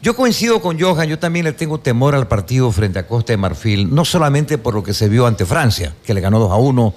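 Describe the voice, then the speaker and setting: A man's voice: medium pitch, very animated, brisk, darkish tone. A man, speaking emphatically on a sports talk panel and posing questions to the others.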